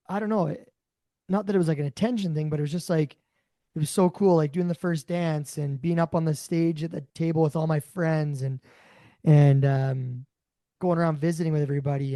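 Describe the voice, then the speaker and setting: A man with a raspy voice, co-hosting a podcast, rambling through casual chat. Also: fairly high pitch, no room sound, studio quality. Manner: rambling, warm storytelling. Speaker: podcast co-host.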